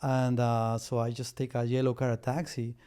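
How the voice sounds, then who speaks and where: A middle-aged man from Peru speaks English, his first language being Spanish, at a medium pitch with a dark, relaxed tone. He talks in a casual interview way, halting often with restarts and fillers as he explains his work. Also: smooth. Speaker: guest, business litigation lawyer.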